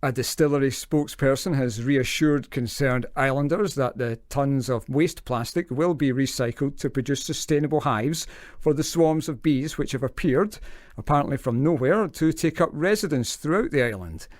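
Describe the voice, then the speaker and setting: An actor playing a formal radio newsreader in an audio drama, reporting in a scripted bulletin style. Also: male voice, medium pitch, no echo, clean studio sound, natural voice. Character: formal male radio newsreader, easily flustered. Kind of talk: news reading in audio drama.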